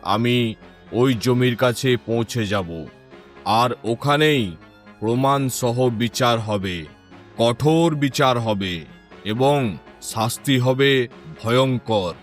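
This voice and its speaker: A man playing a stern, shrewd king in a cartoon folk tale, making deliberate, commanding pronouncements. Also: mid-range voice, faint music behind, close to an everyday voice. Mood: stern, warning. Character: stern, shrewd king judging a land dispute.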